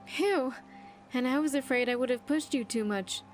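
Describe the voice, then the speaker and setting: A woman's voice: high voice, moderate pace, slight background noise, lightly acted, poor audio. A woman voicing an earnest, grateful young survivor in a video game, chatty and sincere with slightly stilted phrasing. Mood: relieved, pleased. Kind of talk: video game character dialogue.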